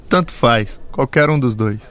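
A man's voice: mid-range voice, unhurried, fairly bright tone. Man reading a lesson dialogue. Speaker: dialogue reader.

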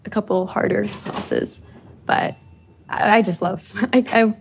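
A woman's voice: medium pitch, fairly even intonation, unhurried, gravelly, noisy background. Chatty young woman from Costa Rica. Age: young adult. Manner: chatty, lots of likes and ums. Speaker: undergraduate student guest. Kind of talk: casual interview.

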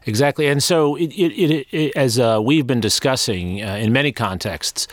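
A man interviewing in a reflective conversation, with measured academic phrasing and pauses. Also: medium pitch, dry recording, clean studio sound.

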